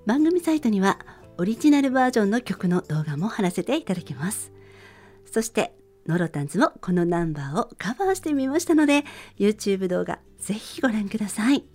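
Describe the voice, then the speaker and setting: A woman hosting a radio show, speaking politely and gently in a prepared solo narration. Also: medium pitch, very animated, a little fast, faint music behind.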